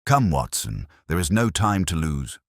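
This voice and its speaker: A man voicing a keen, commanding gentleman detective in an audiobook, grave and intense, with formal, deliberate phrasing. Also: extremely low pitch, big pitch swings, no room sound, clean studio sound, exaggerated voice. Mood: grave, decisive. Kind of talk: audiobook character dialogue.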